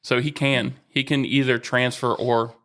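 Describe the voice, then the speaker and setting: A man co-hosting a podcast, calmly explaining sports news and rules. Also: mid-range voice, a little slow. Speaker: podcast co-host. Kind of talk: casual sports chat.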